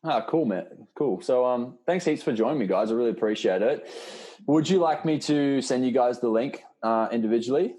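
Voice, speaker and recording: A man's voice: medium pitch, normal speed, mellow. A man hosting a casual group call as a fitness coach, speaking in an encouraging, reassuring, informal way. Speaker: host and fitness program coach. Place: live online video call.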